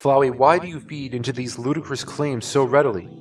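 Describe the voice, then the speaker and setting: A man's voice: medium pitch, fairly even intonation, a little fast, far from the mic, lightly acted. A man playing a frank, wordy character who lectures and scolds in a fan comic dub. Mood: reproachful.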